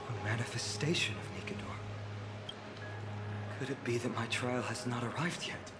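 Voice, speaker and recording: An actor voicing a courteous, dutiful warrior lord in a video game, with formal, composed phrasing. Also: male voice, medium pitch, moderate pace, close to an everyday voice. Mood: thoughtful. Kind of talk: scripted video game voice acting. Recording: roomy echo under loud game music.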